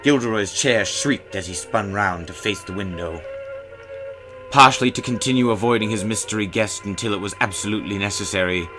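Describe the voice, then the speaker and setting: A man narrating a scripted story in a theatrical manner, with ornate, measured phrasing. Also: fairly low pitch, poor audio.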